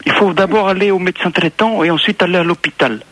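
A man with a Corsican accent calling in to a comic radio show, answering in a deadpan way. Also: high voice, fast talker, rough recording. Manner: deadpan, keeps repeating "tout à fait". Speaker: caller. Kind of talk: prank call-in banter.

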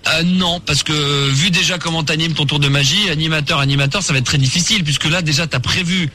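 A man's voice: a little high, fast, sharp tone, slight background noise. A man hosting a live call-in radio show with teasing, mock-serious banter. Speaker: radio host.

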